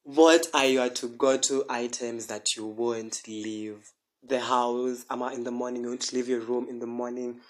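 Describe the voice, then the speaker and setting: A woman's voice: rumbling low, little pitch movement, unhurried. A woman hosting a casual podcast interview, agreeable and often echoing the guest with small affirmations. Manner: agreeable, frequent backchannels, repeats guest's words. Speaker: podcast host.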